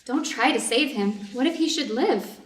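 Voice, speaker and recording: A fairly high female voice carries from some distance across an echoey room. It is a woman acting a doctor's wife in a readers' theater drama, dutiful and anxious. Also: normal speed, close to an everyday voice. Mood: firm.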